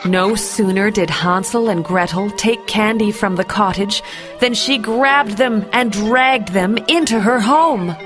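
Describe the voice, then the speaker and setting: A woman storyteller reading a scripted bedtime fairy tale for children in a measured, expressive way. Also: medium pitch, music in the background.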